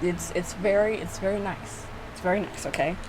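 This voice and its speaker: A woman's voice: on the low side, moderate pace, background noise. A young adult woman giving casual, self-mocking reaction commentary, often repeating her phrases as she goes. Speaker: gaming reaction video creator. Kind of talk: casual reaction commentary with lines read aloud.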